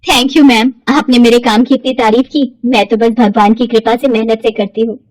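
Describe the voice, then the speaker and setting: A gentle young daughter-in-law acted by a woman narrator with a higher voice and a respectful, soothing manner. She sounds earnest and dutiful, like a cartoon moral-story heroine, in a dry recording. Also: steady tone, normal speed, no echo, lightly acted, low-quality recording. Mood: grateful.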